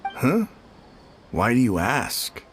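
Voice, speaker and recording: A man's voice: medium pitch, huge pitch swings, extremely slow, faint music behind, exaggerated voice. An actor playing a casual, defensive male guard in a video game, with an offhand manner. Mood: guarded, explaining.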